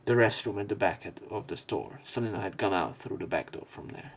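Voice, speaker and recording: A man reading a visual novel aloud as a let's player, in an expressive, dramatic narration style. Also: lowish voice, a little fast. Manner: expressive, with emotional swells.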